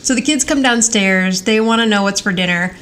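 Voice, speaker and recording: A woman's voice: mid-range voice, brisk, fairly bright tone. A woman co-hosting a podcast, casually recapping in a chatty way and acting out bits of dialogue as she retells. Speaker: podcast co-host. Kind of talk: casual TV rewatch recap chat. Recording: clear background noise.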